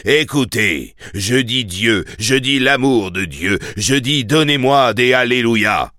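A man's voice: medium pitch, expressive, slow, bright, forceful tone, dry recording, clean studio sound, pitched above the performer's own voice. A man playing a fervent, declamatory street preacher. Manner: declamatory, repetitive exhortation. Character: fervent male American street preacher rousing a crowd.

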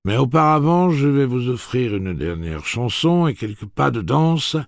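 A man's voice: medium pitch, very animated, unhurried, gravelly, dry recording, clean studio sound, pitched above the performer's own voice. A man voicing an aging, weary gunslinger who speaks in a solemn, ceremonious way. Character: aged weary gunslinger, grave and formal.

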